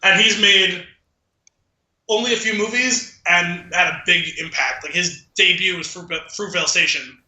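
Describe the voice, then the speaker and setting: A man's voice: high-pitched, normal speed, sharp tone. A man chatting casually as a podcast co-host about film, in a rambling, tentative way. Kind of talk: casual film discussion chat. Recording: roomy, echoing room sound.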